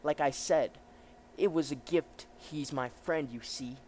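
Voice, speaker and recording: A man playing a polite, fearless young English gentleman with a hot temper from a comic, courteous but firm. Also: on the high side, unhurried, a little hiss or hum, pitched above the performer's own voice, natural voice.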